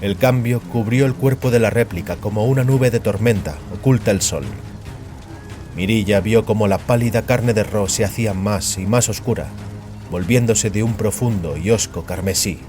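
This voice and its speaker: A man narrating dramatic fiction in a solemn, measured way. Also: on the low side, over music.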